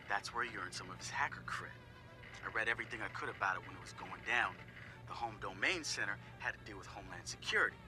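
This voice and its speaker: A man's voice: medium pitch, lightly acted. An actor playing a laid-back young male hacker who sizes up his teammates in a game audio log, talking casually with profane, wry asides. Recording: clear music behind the voice.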